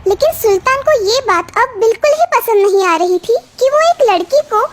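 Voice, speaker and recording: A woman's voice: extremely high pitch, animated, moderate pace, slight background noise. A woman narrating a children's fairy tale in a scripted storytelling style.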